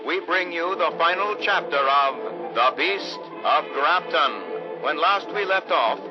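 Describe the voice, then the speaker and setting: Showy vintage radio announcer, animated. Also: male voice, on the high side, takes it slowly, muffled recording. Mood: ominous, dramatic. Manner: dramatic, showy. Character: theatrical male 1950s radio announcer, suspense narrator. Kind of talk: vintage radio scripted narration. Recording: band-limited old radio sound with music.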